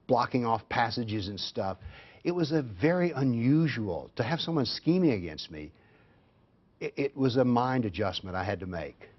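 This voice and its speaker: A man answering as a guest on a talk show, recounting his experience in a measured, earnest way. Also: medium pitch, slightly rough, muffled recording. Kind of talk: interview answers.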